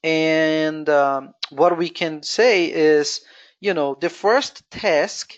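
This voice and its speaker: A Brazilian-accented presenter whose first language is Portuguese, walking through a software screen-share tutorial step by step and thinking aloud as they go. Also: medium pitch, extremely slow, muffled recording. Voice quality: explains step by step, thinking aloud with frequent "you know". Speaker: webinar presenter.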